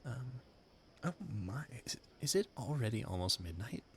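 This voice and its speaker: A man playing an earnest, dreamy young gentleman inventor in a romantic audio roleplay, formal and wistful in manner. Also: mid-range voice, big pitch swings, normal speed, a little hiss or hum. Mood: sheepish, then startled, urgent. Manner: wistful, formal, flustered stammering when startled.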